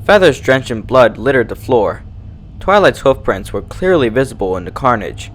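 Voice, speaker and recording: A man's voice: medium pitch, lively intonation, normal speed, a little hiss or hum. A man reading a horror fan fiction aloud as its narrator, in a scripted storytelling style.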